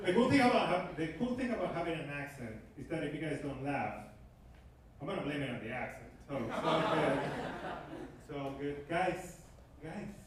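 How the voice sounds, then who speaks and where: A man in his thirties with a Venezuelan Spanish accent works the room as a stand-up comic, his fairly high voice animated and punchy. He sounds far from the mic in a very echoey club. Loud noise sits under everything. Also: normal speed. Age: 30s. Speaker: stand-up comedian. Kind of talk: stand-up comedy set. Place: comedy club stage.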